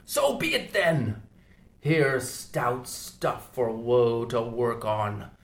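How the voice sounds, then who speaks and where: A man reading an audiobook, voicing a grim, obsessed old whaling captain in a slow, hushed, solemn delivery. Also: medium pitch, expressive, roomy sound, voice pushed down. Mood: brooding.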